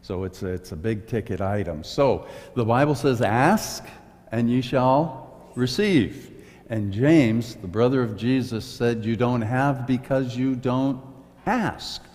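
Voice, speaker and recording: A man in his sixties, a pastor, giving church announcements and leading a prayer in an earnest, unhurried way. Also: medium pitch, a little mellow. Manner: slow, earnest pastoral delivery. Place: church sanctuary, indoors. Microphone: far camera, standing in front of a pulpit. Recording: reverberant church hall.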